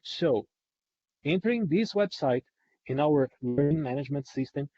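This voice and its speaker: A man's voice: on the high side, expressive, normal speed, mellow. A man who is a non-native English speaker presents a training webinar, repeating and rephrasing points for emphasis. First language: not English. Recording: dull lo-fi online webinar audio.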